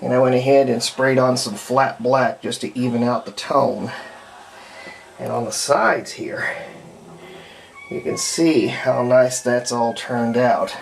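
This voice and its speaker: A man, middle-aged, giving an unhurried, rambling, step-by-step commentary on hands-on restoration work, recorded indoors at home. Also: medium pitch, wildly swooping pitch, creaky, slight background noise, rough recording. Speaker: hobbyist radio restorer presenting own repair videos. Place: indoors at home, partly in a bathroom.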